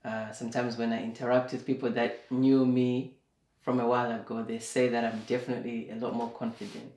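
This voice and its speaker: A young man from Zimbabwe, a guest in a casual interview, speaking slowly and thoughtfully, with plenty of fillers. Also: mid-range voice, little pitch movement, darkish tone. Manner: slow and reflective, with many fillers. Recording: echoey room.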